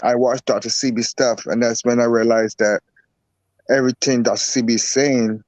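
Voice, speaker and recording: A man from Barbados, a guest herbalist and boxer, talking in a relaxed, rambling way with lots of repeats. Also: mid-range voice, moderate pace, dry recording. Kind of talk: casual interview talk.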